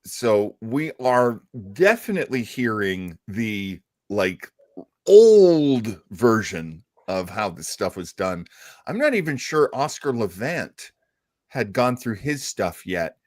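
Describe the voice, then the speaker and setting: A man's voice: lowish voice, sing-song, slow, no echo, clean studio sound. A man hosting a casual panel discussion, commenting conversationally. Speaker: show host.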